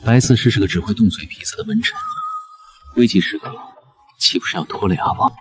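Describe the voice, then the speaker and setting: An actor playing a frail, secretly scheming nobleman in an animated costume drama, gentle and measured in manner. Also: lowish voice. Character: frail, soft-spoken, secretly scheming male court nobleman.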